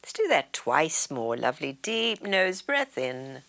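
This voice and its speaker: A woman with a low voice guides a meditation at an unhurried pace. Her intonation rises and falls widely, and her phrases often trail into a soothing, breathy whisper. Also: big pitch swings, fairly bright tone. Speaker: yoga nidra meditation guide.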